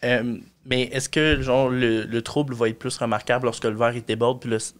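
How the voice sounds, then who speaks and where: A man's voice: mid-range voice, fairly even intonation, normal speed. A man with a Quebec French accent in a casual podcast discussion, asking questions in colloquial, tentative phrasing.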